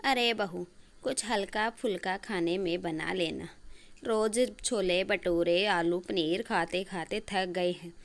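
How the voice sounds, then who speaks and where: A woman's voice: on the low side, takes it slowly. A woman voicing a bossy, scolding middle-aged mother-in-law in a story cartoon, nagging and giving orders. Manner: nagging, commanding.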